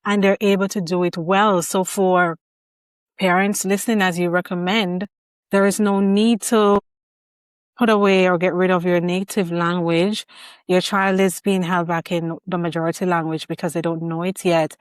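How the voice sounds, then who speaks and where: Woman host, lower register, thoughtful conversational delivery, close dry mic. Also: flat delivery, moderate pace, clear, resonant tone, dry recording. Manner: reflective, shares personal parenting experience. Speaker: podcast host. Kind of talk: conversational interview.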